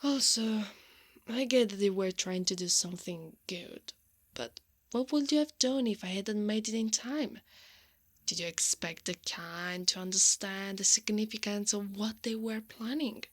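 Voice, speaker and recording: A hushed, unhurried female knight, acted by a woman in an ASMR roleplay. She is strong and protective, with a tender, teasing temper. Her soft voice often slips into breathy whispering at a medium pitch. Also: natural voice. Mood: concerned, scolding. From Italy. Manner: slow, intimate, hushed delivery. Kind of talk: ASMR roleplay, intimate scripted acting.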